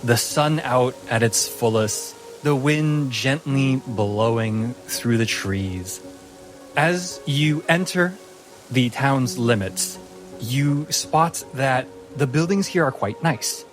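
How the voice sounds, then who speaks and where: A man narrates a tabletop game as game master in a medium-pitched voice. His storytelling is descriptive and improvised, with casual phrasing, over light background music. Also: takes it slowly.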